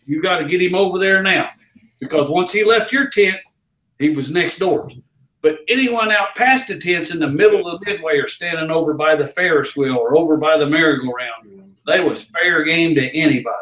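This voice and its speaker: A man's voice: on the high side, moderate pace. Folksy Southern older man, roomy sound. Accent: Southern American. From American South. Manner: folksy, loud carnival-barker storyteller. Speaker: interview guest. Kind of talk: storytelling in a casual interview. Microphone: far camera. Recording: roomy office echo.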